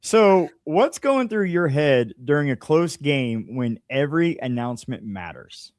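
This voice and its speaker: Smooth-voiced man hosting a casual sports interview. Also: fairly high pitch, very animated, measured pace, a little mellow, no echo, studio quality. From small town in the United States. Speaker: interviewer, show host.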